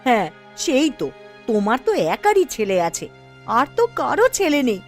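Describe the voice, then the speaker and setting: Woman as busy cartoon village wife, very high pitch, lively swooping delivery, brisk, nagging, outspoken. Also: faint music behind. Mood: exasperated. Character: outspoken young village housewife, busy and exasperated. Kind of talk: animated cartoon story dialogue.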